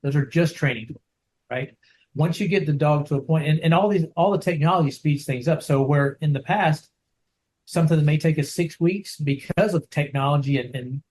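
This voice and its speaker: Soft-spoken male dog trainer on a video call, a bit higher than most men, loose and rambling. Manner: soft-spoken, rambling with many restarts and "right?". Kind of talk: casual interview over video call.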